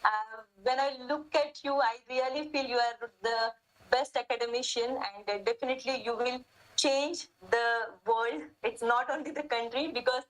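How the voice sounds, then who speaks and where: A woman's voice: on the high side, fairly even intonation, fairly bright tone, rough recording. Effusive woman speaker. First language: Marathi. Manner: effusive, polite, repeated thanks with many "uh" pauses. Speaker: keynote speaker. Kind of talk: thanks at the close of an online lecture.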